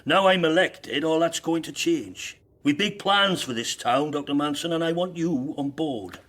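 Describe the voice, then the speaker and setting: A man's voice: a little high, normal speed, a bit bright. An actor playing a blunt, fervent socialist miners' union man in a radio drama, speaking persuasively. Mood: forthright. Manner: forthright, rhetorical, persuasive.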